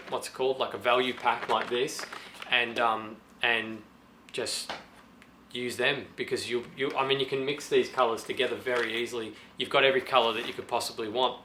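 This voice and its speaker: A slightly hoarse man with a sore throat, casually thinking aloud through a do-it-yourself how-to demonstration with many restarts. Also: mid-range voice, pushed and bright, a little hiss or hum. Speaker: DIY guitar pedal vlogger.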